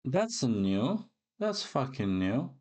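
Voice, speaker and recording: A man gives a casual live reaction as host of a video. He talks slowly at a middle pitch. He muses hesitantly and often repeats himself. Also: sing-song, darkish tone.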